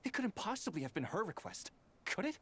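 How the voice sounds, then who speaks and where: A man's voice: high-pitched, sing-song, a little fast, a bit bright, a little hiss or hum. A man voicing a wry, exasperated young officer in a video game, complaining theatrically over faint ambience.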